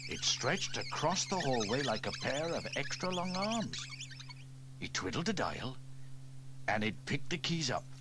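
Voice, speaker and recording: A man narrating a children's audio story in an expressive, animated read-aloud manner. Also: medium pitch, measured pace, noisy background.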